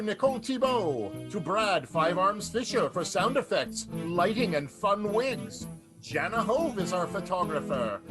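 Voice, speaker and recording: Loud music plays beneath a man with a high-pitched voice. He narrates an improvised radio soap as a theatrical announcer, melodramatic with a wry edge. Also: poor audio.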